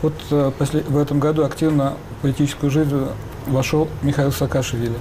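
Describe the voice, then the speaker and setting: Flat-voiced male studio host. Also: a little high, fairly quick, a little hiss or hum, low-quality recording. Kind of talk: moderating a studio discussion.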